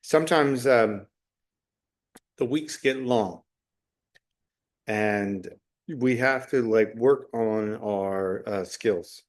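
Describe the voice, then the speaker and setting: A middle-aged man talking unscripted as a solo podcast host, reflective and halting, often pausing mid-phrase. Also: lowish voice. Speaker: podcast host, special education teacher.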